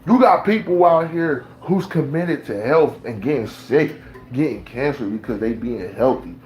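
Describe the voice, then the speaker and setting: A man's voice: medium pitch, lively intonation, normal speed, darkish tone, soft background music. A man from Ohio, ranting casually in loose, slangy talk and preaching his views. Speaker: beatmaker talking to camera. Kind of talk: casual rant.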